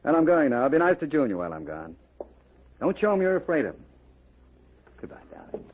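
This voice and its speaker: An actor playing a ruthless gangster boss in a 1950s radio drama, mocking, commanding and coolly sinister. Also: male voice, on the high side, big pitch swings, fairly quick. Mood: seductive, sly. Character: ruthless, taunting gangster boss with smooth cruelty. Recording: dull vintage radio recording.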